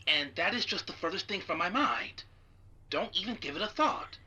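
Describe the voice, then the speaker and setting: A woman's voice: fairly low pitch, expressive, normal speed, pushed and bright, slightly rough, highs cut off, heavily acted. A woman playing an over-reassuring boss soothing an employee in an audio drama. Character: placating, falsely reassuring boss on a phone call.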